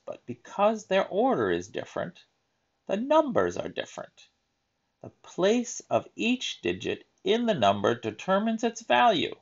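A man reading a children's picture book aloud in a deliberate, emphatic teaching manner, adding explanatory asides. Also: mid-range voice, dull audio.

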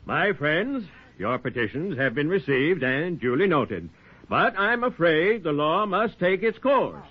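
Pompous, high-pitched, flustered mayor. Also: male voice, very animated, measured pace, husky, exaggerated voice. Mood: officious. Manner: officious, pleading. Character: pompous, flustered small-town mayor and husband. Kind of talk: 1940s radio acting. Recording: dull 1940s radio, crowd behind.

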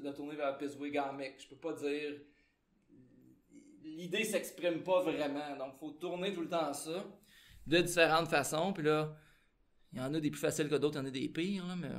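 A man's voice: a little high, echoey room. A man with a Québécois French accent, a translator giving an informal conference talk in a loose, conversational way with many fillers.

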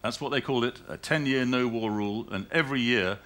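A man's voice: medium pitch, fast talker, fairly bright tone. A middle-aged British man speaking on a conference panel, rambling and restarting his sentences with laughing asides. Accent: British English. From United Kingdom. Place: conference hall stage.

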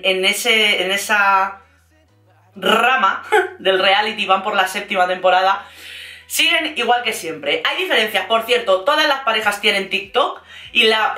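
A woman with a Castilian Spanish accent chatting casually and playfully as an online host, in an animated, gossipy, slangy way. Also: medium pitch, roomy sound. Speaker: YouTube host recapping reality TV. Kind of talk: casual, chatty vlog commentary.

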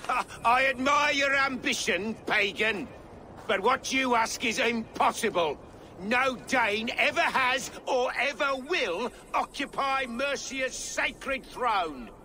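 A man's voice: very high voice, lively intonation, takes it slowly, pushed and bright, a little hiss or hum, full character voice. An actor playing a proud, defiant Saxon king in a video game, speaking with commanding scorn. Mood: scornful.

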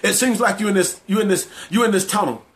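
A man's voice: sits high, fast. A man preaching a motivational sermon, driving points home with emphatic, repeated phrases.

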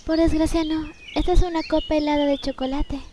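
Woman playing high-voiced dutiful young maid. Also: little pitch movement, brisk, dark tone, slight background noise, close to an everyday voice. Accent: Latin American Spanish. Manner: formal, deferential. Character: dutiful, polite young maid serving a mansion's lady. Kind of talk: anime fandub acting.

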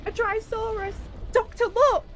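A woman playing a cheeky, excitable young female companion in an audio drama, with a sarcastic, exclaiming manner. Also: very high-pitched, measured pace, faint music behind, poor audio. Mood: excited. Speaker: actress in a fan audio drama. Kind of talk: full-cast audio drama acting.

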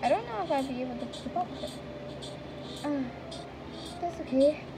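A shy teenage bookworm who keeps apologizing, read out by a woman with a high voice. She hesitates and stammers through her lines. A noisy home mic hisses underneath. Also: lively intonation, normal speed. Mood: timid. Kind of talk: amateur reading of a visual novel.

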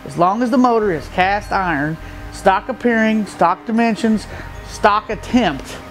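A man's voice: sits high, unhurried, soft background music. A middle-aged man from the Midwest, a stock car racer, explaining his car in a plain-spoken, matter-of-fact way with many fillers, recorded in an open metal shed by a camera a few feet away. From Midwestern United States. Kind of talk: casual walkaround explanation. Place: open metal shed with gravel floor, outdoors nearby.

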